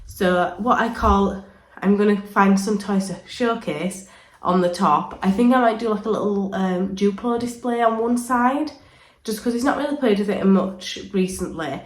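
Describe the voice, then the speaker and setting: A woman talks through her home vlog in a loose, rambling way, with plenty of ums along the way. She is full of cold and has a sore throat. Her voice sits at an ordinary middle pitch, and the room around her sounds echoey. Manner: chatty, rambling with frequent ums. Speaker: vlogger. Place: indoors, home playroom.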